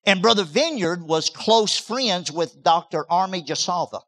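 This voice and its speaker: A man's voice: high-pitched, expressive, a little slow, a bit bright. A man, preaching a sermon in an emphatic style, with repeated words and rising bursts. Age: 60s. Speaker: pastor.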